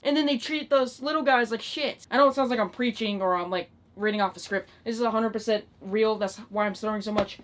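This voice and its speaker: A nineteen-year-old woman ranting in a casual, unscripted vlog style, exasperated and emphatic, with plenty of swearing. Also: a little high. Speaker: online video creator.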